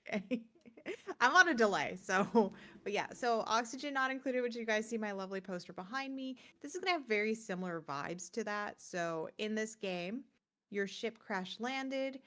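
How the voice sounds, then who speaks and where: A woman's voice: a little high, expressive, moderate pace, fairly bright tone. A woman co-hosting a gaming podcast, chatting casually and informally as she presents game picks in a rambling way.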